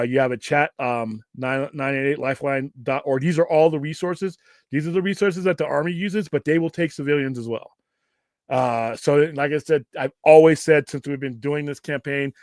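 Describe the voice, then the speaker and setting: A gruff American man hosts a casual question-and-answer livestream, recorded dry and close. He rambles off the cuff in a medium-pitched voice, with casual swearing mixed in. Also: no echo. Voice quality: gruff, self-described Cookie Monster voice. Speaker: host of a pop culture livestream show.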